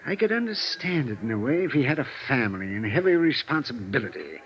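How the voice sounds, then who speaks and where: An actor playing a fretful, hesitant head of an accounting department in a vintage radio drama, often flustered and repeating himself. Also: male voice, mid-range voice, sing-song, fast, natural voice. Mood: troubled, baffled. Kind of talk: 1950s radio drama acting. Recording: dull vintage radio recording, faint noise.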